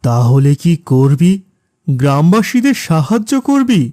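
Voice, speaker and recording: A man voicing a gentle, patient middle-aged father in a cartoon. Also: a little high, sing-song, unhurried, no room sound, clean studio sound. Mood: supportive. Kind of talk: cartoon voice acting.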